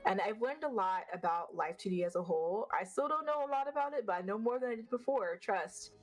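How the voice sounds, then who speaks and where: A woman with a deeper, unfeminine voice talks quickly and bluntly in a relaxed interview. She swears casually and trips into a slight stutter now and then. Faint music sits in the background. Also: low-quality recording. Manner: fast, blunt, swears freely. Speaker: vtuber guest.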